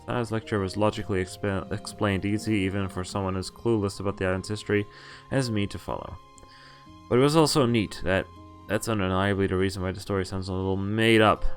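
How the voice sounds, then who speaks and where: A man streaming a visual novel, reading its text aloud and adding casual, wry asides, often stumbling over names and correcting himself. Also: fairly low pitch.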